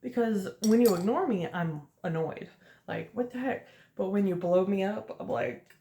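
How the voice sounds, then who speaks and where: A woman in her late twenties talks on and on in a loose, chatty ramble, laughing between thoughts. Her voice sits at an ordinary pitch, and the room around her rings with echo. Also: moderate pace, warm tone. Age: late 20s. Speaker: vlogger. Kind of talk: casual rambling get-ready-with-me chat. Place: at home doing makeup.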